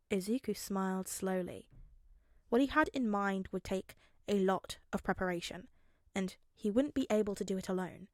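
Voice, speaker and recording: A woman's voice: medium pitch, a little mellow, dry recording, clean studio sound. A woman reading scripted story narration in the style of an audiobook. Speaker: fan fiction narrator.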